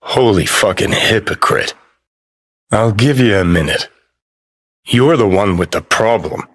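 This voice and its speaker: A man's voice: on the low side, animated, measured pace, a bit bright. A man acting a brash, cynical rebel rocker ghost in a video game, curt, sardonic and profane, with some noise behind him. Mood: irritated, scornful.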